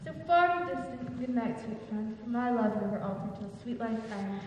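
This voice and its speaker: An actress playing a devoted young woman who speaks Shakespearean verse modestly and gently. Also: on the high side, lively intonation, moderate pace, a little hiss or hum, lightly acted. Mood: gentle. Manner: modest and gentle, rising to frightened cries. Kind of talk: Shakespearean stage acting. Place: large hall or theatre stage. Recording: distant, echoey stage recording in a large hall.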